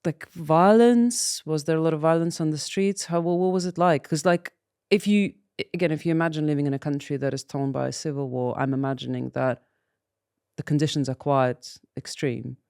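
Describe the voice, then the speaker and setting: A woman's voice: sits low, normal speed, a little mellow, clear, resonant tone, dry recording, clean studio sound. A woman hosting an interview podcast, asking curious, open questions. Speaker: podcast host.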